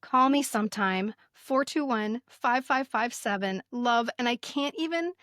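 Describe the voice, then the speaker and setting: An American woman in her fifties telling stories casually as a podcast host, expressive and emphatic, sometimes reading aloud. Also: fairly high pitch, unhurried, no echo, clean studio sound. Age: 50s. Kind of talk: casual conversational storytelling, some reading aloud.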